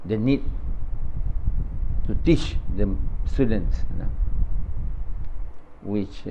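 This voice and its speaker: An older Malaysian man, a native Malay speaker, gives a halting religious talk in accented English and often repeats himself. His voice sits low. It is recorded close up on a phone in a small room, with a dull lo-fi sound and a faint hiss behind it. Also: creaky, warm tone. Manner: halting, repeats words, opens with Arabic recitation. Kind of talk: talk after dawn prayer.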